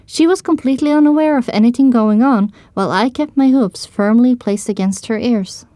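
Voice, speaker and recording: A woman's voice: on the high side, fairly quick, warm tone, no room sound, clean studio sound. A woman narrating a scripted story in the first person as part of an audio drama.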